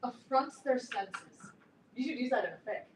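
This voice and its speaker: A woman playing a kindly, slightly awkward adult dentist with a hesitant, gently joking way of talking, in a staged reading. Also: a little high, moderate pace, close to an everyday voice. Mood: fond, wistful. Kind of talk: staged reading of a comedic play. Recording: echoey room, distant mic, clear noise.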